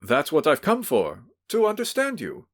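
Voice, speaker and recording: A man doing a tipsy, fawning gentleman. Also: a little high, wildly swooping pitch, normal speed, voice pushed up, heavily acted. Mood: flustered. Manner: tipsy, pleading, effusive. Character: drunk, fawning, sentimental middle-aged man.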